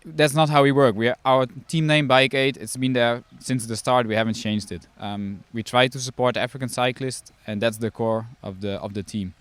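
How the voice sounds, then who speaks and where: Team director guy, calm explaining, smooth dry voice. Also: medium pitch, normal speed, hardly any pauses, no echo. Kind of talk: interview answers.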